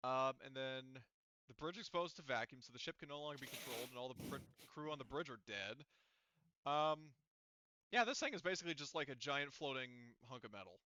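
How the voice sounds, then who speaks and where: A man's voice: medium pitch, expressive, brisk, bright, forceful tone, a little hiss or hum. A man casually narrating and explaining a tabletop game to his players as game master. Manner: informal, explanatory.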